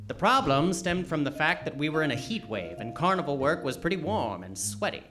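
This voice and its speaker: A man playing a gruff, wry hard-boiled detective in a live radio parody, tough-talking and sarcastic. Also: a little high, sing-song, brisk, over music. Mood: wry narration.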